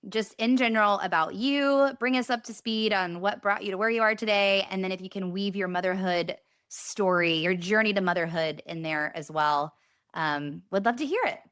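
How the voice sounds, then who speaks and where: A woman's voice: medium pitch, normal speed, clear, resonant tone, no echo, clean studio sound. A woman co-hosting a podcast, encouraging her guest and inviting her to share her story. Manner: warm, encouraging interviewer. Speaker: podcast co-host. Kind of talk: casual podcast chat. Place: remote home studio.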